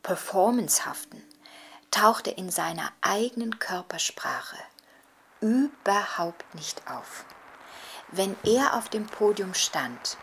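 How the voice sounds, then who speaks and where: A woman's voice: mid-range voice, expressive, takes it slowly, vocal fry. An older woman giving reflective scholarly interview commentary, hesitantly searching for precise words. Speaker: writer and commentator interviewed on Adorno.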